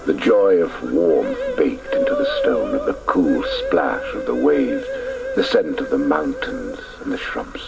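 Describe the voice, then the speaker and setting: Very deep, gravelly reflective narrator. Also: male voice, exaggerated voice, low-quality recording. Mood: nostalgic. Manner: hushed, lyrical, reflective. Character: reflective male narrator recalling his boyhood. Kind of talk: scripted literary radio narration.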